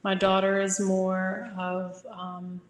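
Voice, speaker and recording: A woman in her thirties with a Midwestern American accent, speaking as a guest on a webinar over a video call in a relaxed, informal way with many fillers. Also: medium pitch, takes it slowly, a little hiss or hum. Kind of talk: webinar talk. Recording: roomy video-call audio.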